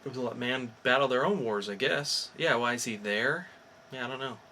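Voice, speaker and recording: A man's voice: mid-range voice, moderate pace, pushed and bright, slight background noise. A man co-hosting a podcast, giving an off-the-cuff review in a hesitant, hedging way, rephrasing as he goes. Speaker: podcast co-host reviewing comics. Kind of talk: casual, unscripted review chat.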